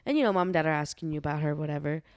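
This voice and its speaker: Chatty young woman, lowish dry voice. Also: racing, darkish tone, clear, resonant tone, no echo. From Bosnia. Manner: conversational, frequent "right" and fillers. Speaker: podcast co-host. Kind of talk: casual chat.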